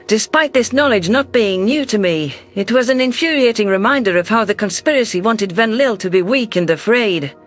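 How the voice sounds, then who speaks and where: A man narrating a science-fiction story in a steady, measured storytelling manner. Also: sits high, faint music behind. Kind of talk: scripted sci-fi audiobook narration.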